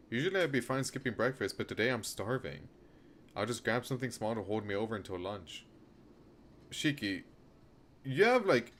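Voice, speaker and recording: Male game streamer, lower voice, reads visual novel text and chats, noticeable background noise. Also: a bit bright. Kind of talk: reading visual novel narration aloud with casual live commentary. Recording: clear background noise, rainy ambience. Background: noise, rain during a stream.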